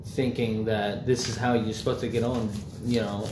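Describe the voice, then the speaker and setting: A man's voice: fairly low pitch, flat delivery, normal speed. A young man in casual chat, rambling loosely with lots of fillers and swearing. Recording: echoey room, faint background noise.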